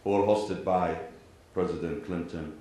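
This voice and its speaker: A man with an Iraqi Arabic accent, an imam giving a formal public address in deliberate, measured phrases. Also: low voice, fairly even intonation, warm tone. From Iraq and Kuwait. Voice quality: frequent throat clearing. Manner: deliberate, measured phrasing with pauses and repeated parallel sentences. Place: large indoor venue, speaking to a gathering. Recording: very echoey hall, faint background noise.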